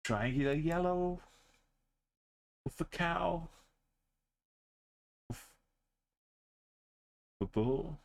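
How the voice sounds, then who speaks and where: A man's voice: fairly high pitch, lively intonation, very slow, vocal fry, pauses often. A man streaming a game, thinking aloud in short muttered phrases. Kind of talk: commentary while playing a game.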